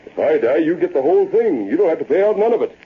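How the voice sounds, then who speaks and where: An actor playing a greedy, scheming Western miner, boastful and folksy, with an American frontier drawl in old radio-western style. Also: male voice, a little high, sing-song, quick pace. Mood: sly, persuasive. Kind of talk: 1930s-40s radio western acting. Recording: tinny, hissy old-time radio recording.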